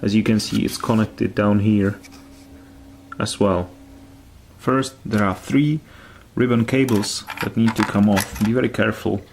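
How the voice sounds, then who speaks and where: A man giving a careful, step-by-step hands-on repair tutorial in halting phrases. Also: on the low side, steady tone, creaky, slight background noise.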